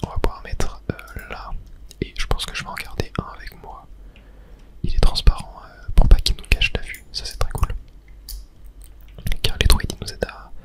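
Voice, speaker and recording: A person whispering softly through casual ASMR video game commentary, murmuring play-by-play with little filler words. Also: moderate pace, long pauses. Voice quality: soft unvoiced whisper. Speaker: gaming ASMR creator.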